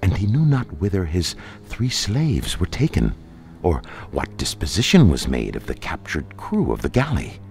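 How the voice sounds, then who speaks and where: Extremely deep-voiced male fantasy narrator. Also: huge pitch swings, vocal fry, darkish tone, faint music behind. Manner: solemn, measured, deliberate. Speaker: audiobook narrator. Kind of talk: scripted dramatic narration of dark fantasy fiction.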